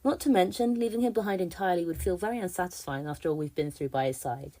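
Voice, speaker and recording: Woman, slightly low voice, scripted fan commentary, podcast contributor. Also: fast talker, mellow. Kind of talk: prepared fan theory commentary.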